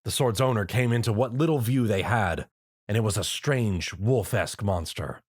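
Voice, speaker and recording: A man's voice: on the low side, moderate pace. A man reading a fan-written action story aloud as narrator. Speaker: reader.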